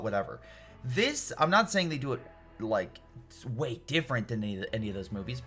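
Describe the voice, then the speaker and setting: A man's voice: mid-range voice, a bit bright. A man giving a casual, opinionated film review in an informal, emphatic, rambling way. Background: background music.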